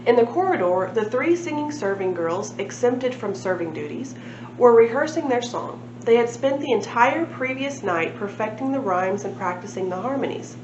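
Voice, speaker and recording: Higher-voiced woman reading aloud, echoey room. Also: lively intonation, normal speed. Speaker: library read-aloud reader. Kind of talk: storybook narration with casual asides. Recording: echoey room, dull sound, noticeable noise.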